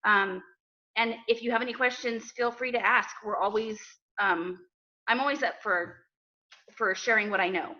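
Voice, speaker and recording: A woman's voice: on the high side, moderate pace, bright, forceful tone, muffled recording. A woman giving casual advice to a group as a guest speaker.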